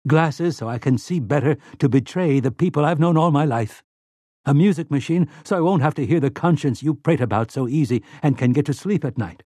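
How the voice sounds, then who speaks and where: Man doing a gruff, aggrieved country man, raised pitch. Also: fairly quick, dark tone, dry recording, studio quality, lightly acted. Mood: weary, bitter. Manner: snarling, aggrieved, folksy. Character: rough country man, guilt-ridden traitor, snarling and whiny.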